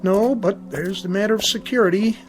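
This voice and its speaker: An actor playing a friendly, plain-spoken school caretaker, casual and easygoing, in a radio drama. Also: male voice, high-pitched, brisk, faint music behind, natural voice.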